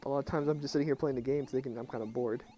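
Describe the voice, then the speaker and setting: A young man with a low voice, talking quietly and casually to his chat at night while he plays a game. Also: fairly even intonation, very fast, dull audio. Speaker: streamer. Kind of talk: casual live game commentary. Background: faint game music.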